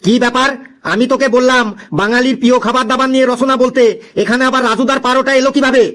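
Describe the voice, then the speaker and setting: Guy doing flustered excitable cartoon teacher, high voice, repeats himself, lots of exclaiming. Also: quick pace, a little hiss or hum. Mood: exasperated. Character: excitable, exasperated male schoolteacher, easily fooled. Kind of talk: comic cartoon dialogue.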